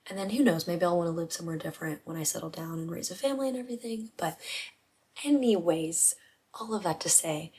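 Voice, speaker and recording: Softly whispering young woman vlogger. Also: fairly low pitch, quick pace. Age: 20s. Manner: hushed, half-whispered talk. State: voice rough from not talking. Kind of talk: casual chat to camera. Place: home, indoors at night. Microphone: vlog camera.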